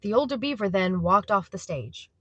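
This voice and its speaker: A woman's voice: medium pitch, expressive, normal speed, dull audio. A woman reading scripted story narration for an audio drama.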